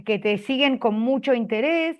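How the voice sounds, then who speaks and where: Host type, Argentine woman, fairly high voice, chatty with lots of fillers, muffled video-call sound. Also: normal speed. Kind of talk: video call closing remarks. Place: public office.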